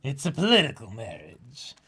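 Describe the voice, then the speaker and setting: A man's voice: medium pitch, huge pitch swings, moderate pace, sharp tone. A man voicing a huge pirate matriarch, sweetly motherly yet callous, in a comic reading. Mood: grandly welcoming.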